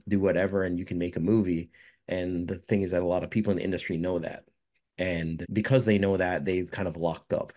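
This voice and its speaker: Casting: very low-voiced young man from jamaica, rambling interview guest. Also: a little mellow. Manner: rambling, many fillers and restarts. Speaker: filmmaker guest. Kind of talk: casual interview answers.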